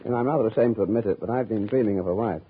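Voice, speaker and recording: An American actor playing a bashful, odd, lovesick suitor in a 1940s radio comedy. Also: male voice, fairly low pitch, rapid-fire, close to an everyday voice. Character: bashful, odd, lovesick suitor full of silly non sequiturs. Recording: noisy old radio, phone-like, audience behind.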